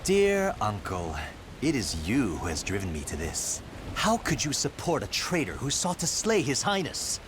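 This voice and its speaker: A man's voice: mid-range voice, huge pitch swings, moderate pace. An actor playing a cold, resentful young nobleman and treacherous nephew in a video game, formal and accusing. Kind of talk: dramatic video game villain acting. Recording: loud rain-like background noise.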